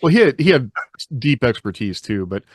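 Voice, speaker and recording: A man's voice: medium pitch, very animated, a little fast, husky, no echo. A man co-hosting a podcast, talking in a casual, conversational style. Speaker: podcast co-host. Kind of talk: casual chat.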